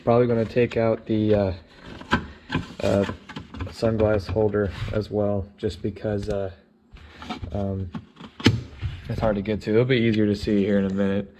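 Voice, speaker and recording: A man in his twenties talking casually through a hands-on DIY job, often halting and searching for words. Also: lowish voice, flat delivery. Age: 20s. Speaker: DIY vlogger. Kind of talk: casual how-to narration while working. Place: indoors: vehicle cab in a shed, later a basement room. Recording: clearly noisy camera audio with clicks.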